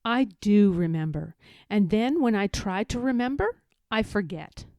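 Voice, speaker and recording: A woman's voice: mid-range voice, lively intonation, a little slow, mellow, no echo, studio quality. A woman voicing an innocent, inquisitive little boy in a children's storybook, sincere and simple in manner. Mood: curious, eager. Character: curious, innocent little boy who loves his bear.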